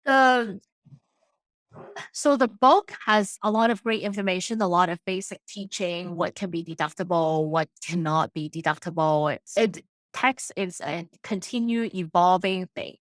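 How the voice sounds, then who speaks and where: A woman's voice: lowish voice, a little slow, no echo. A woman whose first language is not English, an accountant and author talking as a podcast guest, earnest and plain-spoken with frequent restarts. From immigrated to Canada in her late teens. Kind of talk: casual interview talk.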